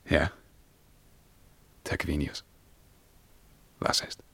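A male actor playing a brooding, lyrical emperor in a radio play, reciting verse solemnly and swinging between hushed murmurs and ringing outbursts. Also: very slow, no room sound. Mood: weary, hushed command.

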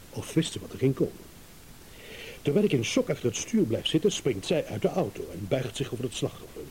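A male actor plays a shrewd, self-satisfied husband and driver in a radio drama, building his accusation with theatrical pauses. His mellow voice swings widely in pitch, ironic and deliberate, over audible tape hiss. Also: lightly acted, low-quality recording. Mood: intense. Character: married motorist delivering a shrewd, self-satisfied accusing monologue. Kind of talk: Dutch radio play monologue. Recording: hissy old radio play recording.

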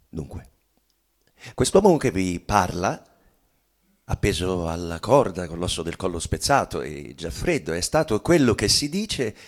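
A deep-voiced, brooding dead bourgeois man. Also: exaggerated voice. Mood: cold, measured. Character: dead hanged bourgeois man, bitterly confessing his conformism. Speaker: stage actor giving a dramatic reading. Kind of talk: theatrical monologue reading of a literary play.

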